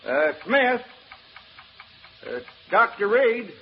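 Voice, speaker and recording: A folksy, persuasive property salesman from a 1940s radio play. His pitch moves freely as he rolls out colloquial patter. The old recording is dull and clearly noisy. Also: big pitch swings, takes it slowly, heavily acted. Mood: calling out. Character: folksy, cheerfully persuasive male real estate agent. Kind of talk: 1940s radio drama acting.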